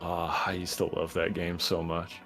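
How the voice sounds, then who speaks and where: A man chatting as a podcast guest, animated and profane, breaking into loud laughs, recorded on a home microphone. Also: low voice, moderate pace, music in the background. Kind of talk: casual podcast chat.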